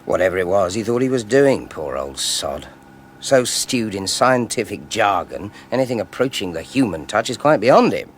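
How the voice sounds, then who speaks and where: A bitter, sarcastic British scientist of forty in a radio drama. His lowish voice swings expressively through clipped, brooding lines, with some background noise and ambience. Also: very animated, normal speed. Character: bitter, sardonic forty-year-old male research scientist. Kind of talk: 1980s BBC radio drama acting.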